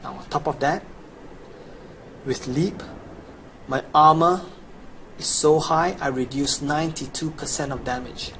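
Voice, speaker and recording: A man's voice: fairly high pitch, steady tone, a little slow, long pauses, fairly bright tone, a little hiss or hum, low-quality recording. A young man with a Chinese accent, boasting through a promotional gameplay voice-over as he lists his gear and stats. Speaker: gamer promoting a gold guide.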